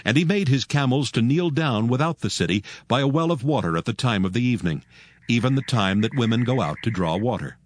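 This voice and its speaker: A man narrating scripture from a script in a measured, formal manner. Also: medium pitch, expressive, no echo.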